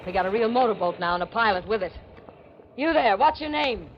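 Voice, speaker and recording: An actress playing a ruthless, greedy femme fatale in a 1940s radio thriller, bossy, sardonic and hard-boiled. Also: female voice, mid-range voice, lively intonation, fairly quick, natural voice. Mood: mocking, scheming. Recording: muffled, very noisy 1940s radio recording.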